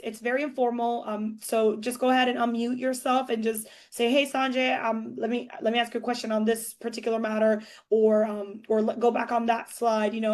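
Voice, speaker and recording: A woman hosting an online investment webinar on a video call, speaking in a friendly, reassuring way. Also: fairly high pitch. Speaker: webinar host.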